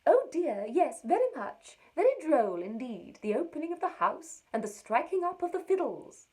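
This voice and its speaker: Woman acting out a gushing, high-pitched lady. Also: sing-song, moderate pace, darkish tone. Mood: gushing delight. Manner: exclamatory, gushing. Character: gushing, effusive woman neighbour quoted in a letter. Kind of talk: acted quote within a literary letter reading.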